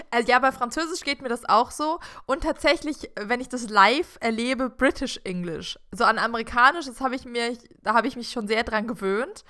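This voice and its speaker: Teasing young woman, bright and high. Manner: dry, teasing, skeptical. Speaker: podcast co-host. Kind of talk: casual chat.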